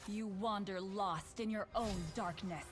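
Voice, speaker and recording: A woman's voice: medium pitch, measured pace, lightly acted. A woman playing a solemn, righteous warrior of light and order in a video game, speaking in stately, archaic declarations. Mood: stern, defiant. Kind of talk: video game character voice lines. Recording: music behind the voice.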